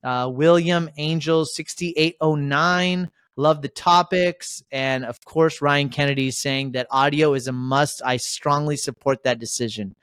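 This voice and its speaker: A man hosting a casual podcast, upbeat and rambling, with lots of shout-outs. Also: a little high, steady tone, unhurried, few pauses, clear, resonant tone, no room sound, clean studio sound. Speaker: podcast host.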